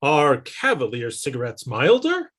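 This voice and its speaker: A man voicing a 1950s radio advertisement announcer with an emphatic sales pitch. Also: wildly swooping pitch, a little slow, fairly bright tone, exaggerated voice. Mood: salesy.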